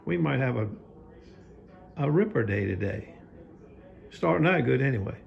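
A man's voice: medium pitch, lively intonation, normal speed, vocal fry, lo-fi sound. A man, talking in a folksy, rambling way while filming himself on his phone, partly at an outdoor market. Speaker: knife sharpener vlogger. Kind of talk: casual vlog narration. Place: outdoor farmers market parking lot. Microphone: phone camera held by speaker.

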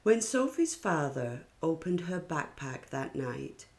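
A woman reading a children's picture book aloud in a deliberate, expressive storytelling style. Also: sits low.

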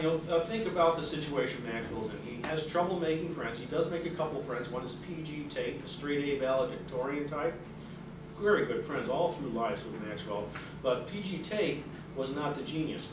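A middle-aged man gives a lecture in a loose, conversational way, with frequent fillers and restarts. His voice sits a little high. He is heard from a distance in a very reverberant room, with a clear hiss of noise behind him. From native English speaker. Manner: conversational, many fillers and restarts, reads quotes aloud with rising energy. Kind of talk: public lecture. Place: carpeted seminar room with audience. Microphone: room mic, away from the speaker at a lectern. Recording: very echoey room from far off, with noise.

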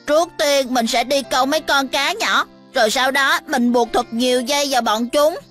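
A woman's voice: sits high, moderate pace, fairly bright tone, soft background music. A woman voicing an earnest, imaginative small child in a cartoon dub, with a southern Vietnamese accent. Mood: pondering.

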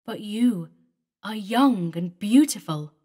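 A woman's voice: mid-range voice, animated, slow pace, warm tone, slightly rough. A woman voicing an aging man who pleads with sorrowful longing. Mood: yearning. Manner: pleading, emotional.

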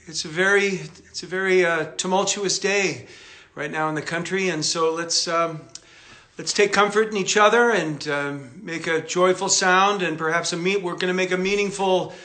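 A man in his sixties hosting a livestream from a cluttered room at home, speaking earnestly and haltingly with many fillers. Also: sits high, fairly even intonation, bright, forceful tone. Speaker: host. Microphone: phone camera.

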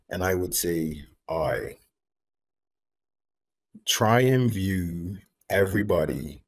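A man in his thirties from Bermuda, a homeless advocate, talking in an earnest, measured way over a video call from home and repeating key phrases for emphasis. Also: low-pitched. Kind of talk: reflective interview talk. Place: room at home. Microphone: video call, laptop camera.

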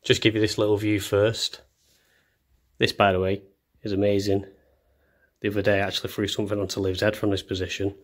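Young guy doing a house tour on his phone, lowish voice, chatty and jokey, takes the mick out of himself. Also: little pitch movement, a little fast, pauses often. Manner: chatty, self-deprecating, jokey. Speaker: vlogger. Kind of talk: casual house tour narration. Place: indoors, walking through rooms of a house. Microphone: handheld phone camera.

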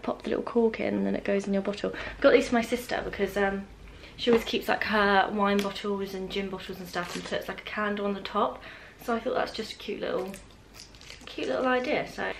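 A young British woman vlogs casually from her living room in an ordinary mid-pitched voice. She chats informally and wanders into small asides as she goes. Clear background noise sits behind her, picked up by a camera a little way off. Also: poor audio. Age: 20s. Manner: chatty, informal, trailing asides. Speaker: vlogger. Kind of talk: casual chat to camera, unboxing. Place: open-plan living room at home, indoors.

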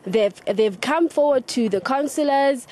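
A young woman with a South African accent reports live from outdoors. Her voice is fairly high and her delivery is halting and hesitant, spoken close into a handheld microphone. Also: animated, dark tone. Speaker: field news reporter. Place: outdoors in open grassland.

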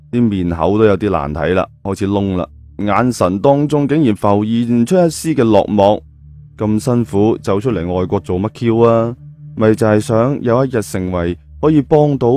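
A mellow, fairly low male voice narrates a story with a Cantonese accent. He is colloquial and dramatic, dropping chatty asides, with soft music underneath.

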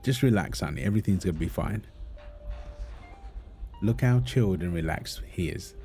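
Guy acting as a chill young dad in an audio roleplay, soft warm low voice, gentle and teasing with his wife and baby. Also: moderate pace, faint music behind, natural voice. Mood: calm, soothing. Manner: gentle, soothing, chuckling, talking to partner and baby. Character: calm, teasing, reassuring young husband and father. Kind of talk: intimate audio roleplay, natural chatty acting.

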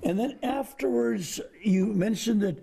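A man's voice: high-pitched, measured pace, creaky, dark tone, husky. An older man hosting a studio TV interview, leading and prompting his guest and finishing his sentences. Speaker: TV host and evangelist. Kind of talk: interview questions. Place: small TV studio set, indoors.

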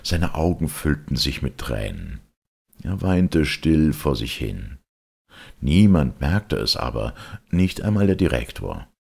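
Very deep, gravelly male storyteller. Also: very animated, takes it slowly, a little mellow. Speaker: audiobook narrator. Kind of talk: expressive scripted storybook narration.

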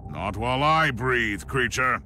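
An actor playing a grim, resolute inquisitor in a video game, speaking in a solemn, commanding, formal way. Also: male voice, medium pitch, animated, measured pace, background noise, lightly acted. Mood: defiant. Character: grim, resolute male inquisitor, battle-hardened warrior of the Emperor. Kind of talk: video game cutscene acting.

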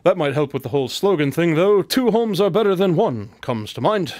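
Aloof, witty radio-drama detective played by a man, formal and precise, higher register, close dry sound. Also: animated, fairly quick, a little mellow, dry recording, lightly acted. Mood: dryly teasing. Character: witty, aloof, analytical male detective, formal and dryly teasing.

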